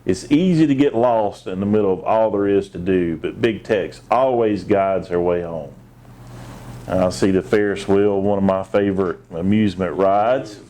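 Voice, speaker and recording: A man's voice: low-pitched, normal speed, dark tone. A man reading a children's picture book aloud, with short asides of his own. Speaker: assistant police chief, guest storybook reader.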